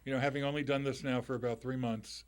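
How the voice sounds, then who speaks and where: A middle-aged man with a loud voice, hosting a live call-in radio show as a lawyer, chatting in a loose, conversational way with restarts and asides into a studio mic. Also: medium pitch, flat delivery, fast. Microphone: studio microphone.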